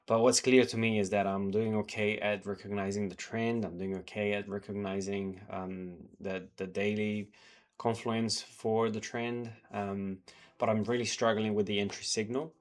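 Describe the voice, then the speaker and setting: A man's voice: lowish voice, flat delivery, moderate pace. A man slightly sick, talking casually through his trading journal and charts, rambling with many fillers and restarts. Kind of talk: casual talk to camera, reviewing charts. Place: home in New Zealand.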